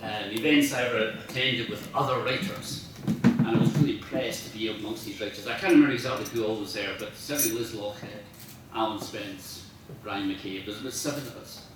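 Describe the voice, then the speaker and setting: A man's voice: on the low side, brisk. A Scottish man of mature years at a club dinner, heard from across a very echoey function room, telling rambling, humorous, self-deprecating stories as the guest speaker. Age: middle-aged or older. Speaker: after-dinner speaker, professional writer. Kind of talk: informal anecdotal speech to an audience. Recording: echoey room, distant mic, faint noise.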